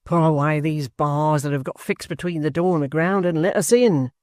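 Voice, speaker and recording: A brash young rioter bellows in a raised, high-pitched voice, impatient and blunt, as a male narrator puts him on. The storybook reading is close-miked and dry. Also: moderate pace, no echo, lightly acted. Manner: shouting, brusque.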